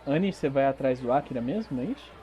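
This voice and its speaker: A Brazilian man working as game master, narrating a tabletop RPG audio drama with dramatic pauses and informal asides. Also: mid-range voice, lively intonation, dark tone. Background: background music.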